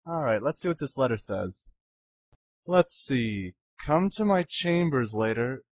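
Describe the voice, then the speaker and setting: A man voicing a snarky, excitable young male adventure hero in a parody cartoon, sarcastic and ranting theatrically. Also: mid-range voice, measured pace. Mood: smug. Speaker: amateur voice actor in a parody series. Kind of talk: comedic abridged-series cartoon dubbing.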